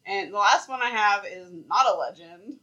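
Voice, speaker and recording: A woman's voice: medium pitch, animated, normal speed, pushed and bright, lo-fi sound. A woman co-hosting a podcast, telling a story in casual chat, with lots of "like" fillers and frequent laughter. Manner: chatty, laughs often, many "like" fillers. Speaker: podcast co-host.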